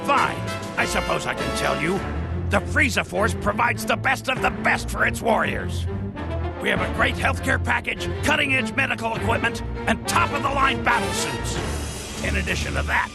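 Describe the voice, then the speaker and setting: An actor playing a proud, boastful alien soldier in a game cutscene, indignant and fiercely loyal, with a gravelly edge. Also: male voice, very high-pitched, animated, moderate pace, exaggerated voice. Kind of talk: animated game cutscene acting. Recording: loud music bed under the voice.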